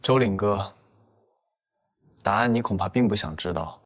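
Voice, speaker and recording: An actor playing cool, restrained, clever young men in a detective drama for an animated comic. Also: male voice, fairly low pitch, normal speed, close to an everyday voice. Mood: serious.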